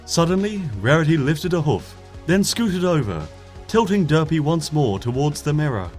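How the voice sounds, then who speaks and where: A man reading a story aloud in a soft, gentle narrating voice. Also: fairly high pitch, very animated, moderate pace. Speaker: fanfiction reader. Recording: faint music beneath the voice.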